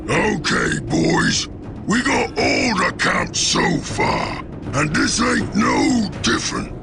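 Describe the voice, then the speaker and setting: An actor voicing a brutish, boastful Ork warboss in a game, gruff and gravelly, bellowing in crude, broken slang. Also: male voice, sits high, heavily acted. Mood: rallying, boisterous. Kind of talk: video game cutscene acting. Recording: loud music behind the voice. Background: loud music.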